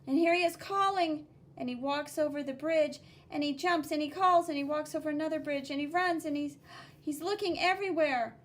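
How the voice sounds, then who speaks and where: A woman teacher reading a picture book aloud to children, explaining the drawings in a simple, instructive, expressive way with sing-song emphasis on key words. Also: high voice, fairly quick.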